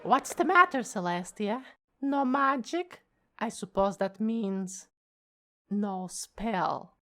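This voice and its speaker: A woman playing a gloating, flirtatious villainous insect queen in an animated parody, theatrical and taunting. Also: mid-range voice. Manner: theatrical, taunting, drawn-out delivery.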